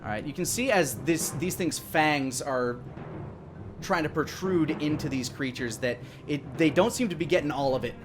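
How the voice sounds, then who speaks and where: A man's voice: on the high side, moderate pace. A man in his thirties narrating a tabletop fantasy game as game master, improvising as he goes. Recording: music playing behind the voice.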